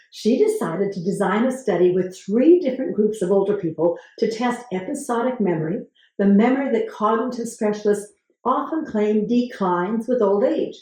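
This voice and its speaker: Woman with a gravelly voice in an echoey room. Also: medium pitch, dark tone. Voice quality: gravelly voice today. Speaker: host. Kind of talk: talking to camera, explaining research.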